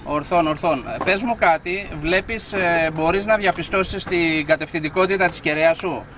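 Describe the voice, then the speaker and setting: Greek male ham radio operator, slightly high voice, repetitive procedural call-outs, recorded outdoors over clear background noise. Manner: repeats words and call signs. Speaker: amateur radio operator making a field contact. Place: outdoors on a hill. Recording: noisy outdoor recording with vehicle sounds.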